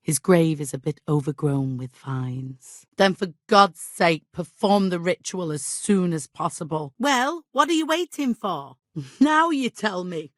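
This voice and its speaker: An actress playing a middle-aged medieval village widow in a video game, devout and guilt-ridden, with an exasperated, imperious and plaintive manner. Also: female voice, low-pitched, no room sound, studio quality, heavily acted. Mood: weary, troubled. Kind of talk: dramatic video game dialogue acting.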